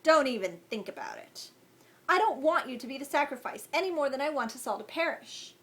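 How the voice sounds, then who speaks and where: A woman reading as a gentle young fae man. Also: high voice, sing-song, a little fast. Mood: grave.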